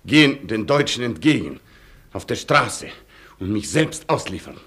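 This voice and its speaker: An actor playing a proud, tormented Polish colonel in a German radio play, declaiming solemnly. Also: male voice, fairly low pitch, very animated, measured pace, fairly bright tone, breathy edge, full character voice. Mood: bitter, tormented.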